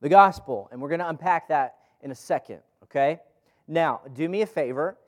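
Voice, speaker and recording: A man's voice: fairly high pitch, moderate pace. A man leading a group Bible study in an informal, conversational preaching style, asking rhetorical questions and repeating phrases for emphasis. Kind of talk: informal teaching sermon with scripture reading. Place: room with a group gathered.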